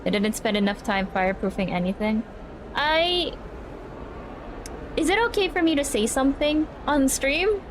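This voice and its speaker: A woman's voice: high voice, animated, moderate pace, long pauses. Rambling young woman streamer, noisy background. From Philippines. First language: Tagalog. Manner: rambling, restarts words, repeats "I, I". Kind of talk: casual live-stream chat with viewers.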